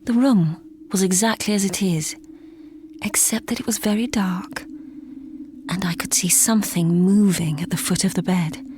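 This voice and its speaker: An actress playing a gentle, impressionable well-bred young lady in a gothic audio drama, polite and earnest. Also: medium pitch, moderate pace, a little hiss or hum, lightly acted. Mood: hushed dread.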